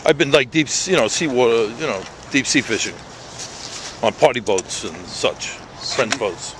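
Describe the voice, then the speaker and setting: A chatty man with a New York accent talks from behind his handheld camera in an outdoor city park. He is frank and quick to joke about himself. His voice sits in a middle register, and faint city traffic can be heard behind him. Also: mid-range voice, expressive. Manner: chatty, joking, candid. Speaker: video blogger. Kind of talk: casual street filming chat.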